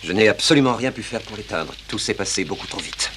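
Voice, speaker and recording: Casting: male actor as honest animated caretaker, deep register, brisk delivery, firm and formal. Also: background noise, close to an everyday voice. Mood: grave, insistent. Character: loyal, earnest male caretaker taking the blame. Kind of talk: French-dubbed cartoon acting.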